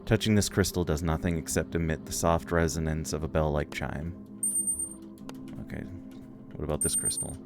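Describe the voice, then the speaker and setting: A man's voice: a bass voice, fairly even intonation, moderate pace, creaky, pauses often. A man reading a video game's story text aloud and commenting as he plays, with game music behind him. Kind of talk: let's play narration, reading dialogue aloud.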